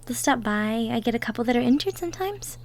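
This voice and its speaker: A woman playing a gentle, cheerful young cottagecore woman from the woods in an intimate roleplay audio, soft-spoken, giggly and sweet. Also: on the high side, lively intonation, fast talker. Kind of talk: roleplay audio drama, intimate one-sided conversation.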